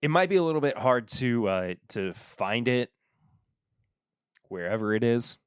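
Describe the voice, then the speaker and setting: A man hosting a casual solo podcast from his desk at home, talking in a rambling, halting way that often stops and restarts. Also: mid-range voice, expressive, clear, resonant tone, dry recording, studio quality. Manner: rambling, halting, self-interrupting. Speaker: podcast host reviewing whiskey.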